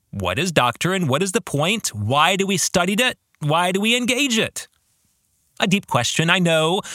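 A man hosts a reflective solo podcast in a bright, forward voice that sits a little high. He speaks slowly and earnestly, with pitch that moves widely as he leans on key words. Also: dry recording, clean studio sound. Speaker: podcast host and author.

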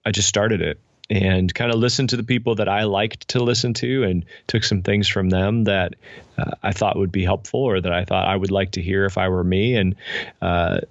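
Low-voiced man, casual and reflective, slightly dull audio. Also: steady tone, moderate pace, no echo. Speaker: podcast guest, pastor and author. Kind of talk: casual reflective interview talk.

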